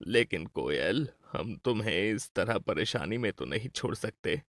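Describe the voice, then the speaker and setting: A man's voice: lowish voice, animated, measured pace, a bit bright, no echo. Man acting a caring old grandfather, dry close sound. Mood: concerned. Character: caring wealthy old grandfather, gentle and proud. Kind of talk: acted Hindi moral-story cartoon dialogue.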